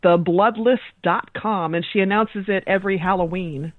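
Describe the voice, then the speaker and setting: A woman chats casually as a podcast co-host. Her voice sits at a medium pitch and comes through a narrow, phone-like connection with very little room sound. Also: normal speed, no echo. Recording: tinny phone-like call audio.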